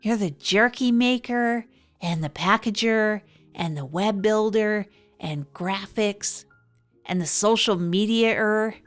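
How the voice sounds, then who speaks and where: Earnest small-town radio host played by a woman, medium pitch, thoughtful halting delivery, music underneath, audio drama. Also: close to an everyday voice. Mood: reflective, earnest. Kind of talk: improvised monologue in comedy audio drama.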